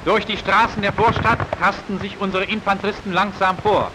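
A man's voice: high-pitched, steady tone, brisk, noisy background. A man narrating a 1940s wartime propaganda newsreel in a formal, declamatory manner, on an old film soundtrack. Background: music.

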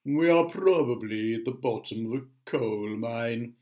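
Man as storybook earthworm, pessimistic, glum, drawn-out, grumbling. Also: medium pitch, natural voice. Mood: gloomy.

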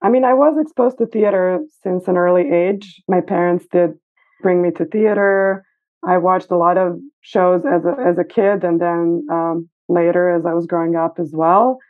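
A woman's voice: lowish voice, fairly even intonation, normal speed. Woman over a tinny phone-like line. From Serbia. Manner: thoughtful, hesitant with fillers. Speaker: guest costume designer. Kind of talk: casual interview. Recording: phone-like remote call.

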